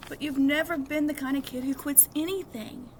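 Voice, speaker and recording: A woman's voice: sits high, fairly even intonation, normal speed, pitched above the performer's own voice, lightly acted. A woman voicing an earnest, impatient teenage big sister in a novel read-aloud, with emphatic, persuasive delivery. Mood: earnest, pleading. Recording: clearly audible background noise.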